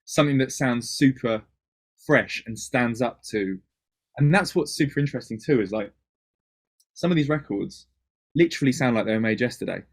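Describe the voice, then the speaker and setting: A man from Wales in his thirties talks about dance records as an interview guest. He speaks at a middling pitch in fast, rambling bursts and often restarts his sentences. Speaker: DJ and producer, guest. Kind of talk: casual interview chat.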